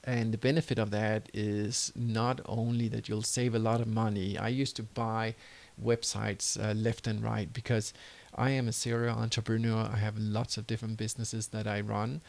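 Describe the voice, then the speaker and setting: Danish-accented man with a gravelly voice. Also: on the low side, little pitch movement, normal speed. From Denmark. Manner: loose, self-correcting, apologizes for slips. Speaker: web design course instructor. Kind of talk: screen-recorded tutorial and course pitch.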